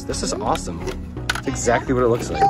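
A young adult man who is a parent filming an outdoor toy unboxing and gently encouraging his children with questions. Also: mid-range voice, animated, quick pace, over loud music. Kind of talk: casual chat with children. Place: outdoor wooden deck.

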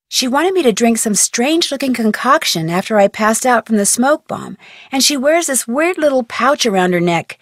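A curious young detective girl from a mystery video game, brisk and a little wry. Her voice sits fairly high, recorded close and dry in a studio booth with no room sound. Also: dry recording. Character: young female amateur detective, curious and plucky. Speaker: game character, teen detective.

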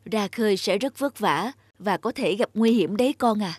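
A woman's voice: medium pitch, lively intonation, normal speed, breathy edge, no room sound, studio quality. Affectionate cartoon mother. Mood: gently concerned. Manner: affectionate, gently concerned. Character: caring, proud mother of a young boy.

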